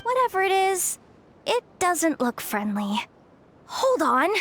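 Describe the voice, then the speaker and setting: A woman playing an alert young female adventurer in a video game, earnest, expressive and outspoken. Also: very high voice, slow, noisy background, exaggerated voice. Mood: wary. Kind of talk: animated video game voice acting.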